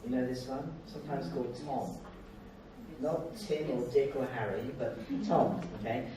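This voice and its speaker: An older man with an Australian accent giving an informal public talk, conversational and self-deprecating, in short halting phrases. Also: mid-range voice, a crowd in the background. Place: indoor lecture hall. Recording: very echoey hall, distant mic, noisy.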